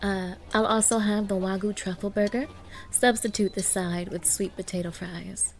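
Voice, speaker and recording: A woman's voice: mid-range voice, steady tone, moderate pace, soft background music, natural voice. A woman playing a haughty, demanding young gold digger on a date, scolding and condescending in an audio roleplay. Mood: breezy, polite. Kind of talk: ASMR-style dramatic roleplay.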